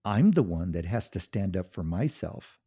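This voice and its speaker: A man's voice: deep voice, sing-song, moderate pace, dark tone, breathy edge, full character voice. A man voicing a cold, scornful wife who mocks and belittles her husband in a cutting way. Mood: taunting. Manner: cutting, mocking, condescending. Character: scornful cold-hearted wife, adult woman.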